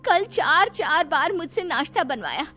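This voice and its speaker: A woman's voice: high voice, lively intonation, a little slow, fairly bright tone, voice pushed up. A woman voicing a polite, overworked young daughter-in-law in a cartoon moral story. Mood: fed up. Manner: deferential, ends phrases with polite "ji". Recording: clear music bed behind voice.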